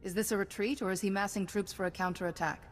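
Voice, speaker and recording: An actress playing a calm, self-assured female Jedi hero in a video game. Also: medium pitch, fast talker, soft background music, close to an everyday voice. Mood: steady. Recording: game audio with long reverb.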